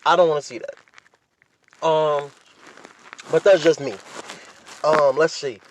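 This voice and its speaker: A man's voice: on the high side, steady tone, takes it slowly, heavy background noise. An American man hosting a podcast solo, talking casually and giving his opinions to listeners in slangy, often profane language. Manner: informal slangy talk with frequent profanity. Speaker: podcast host.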